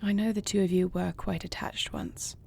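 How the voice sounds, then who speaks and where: Woman playing a breathy, gentle, poised queen. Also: fairly low pitch, very animated, moderate pace, heavily acted. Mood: sympathetic. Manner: formal, courtly phrasing, soft and affectionate. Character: gentle, poised dragon queen, newlywed, tender and dryly witty. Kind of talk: intimate audio roleplay acting, addressed to the listener.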